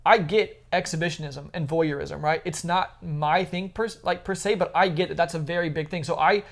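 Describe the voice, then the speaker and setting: A woman's voice: fairly low pitch, fairly even intonation, normal speed, gravelly. A woman co-hosting a podcast, chatting casually and giving opinions in an emphatic, teasing way. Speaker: podcast co-host.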